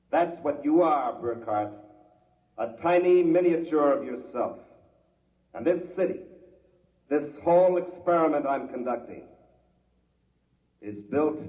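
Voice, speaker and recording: A smug mastermind in a vintage radio drama, with a mid-pitched voice. He is condescending and unhurried in his certainty, a cold, urbane scientist. The recording is dull and old. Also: slightly rough. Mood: coldly triumphant. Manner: condescending, urbane, matter-of-fact. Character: calm, smug male mastermind scientist running a cruel experiment. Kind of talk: 1950s radio drama acting.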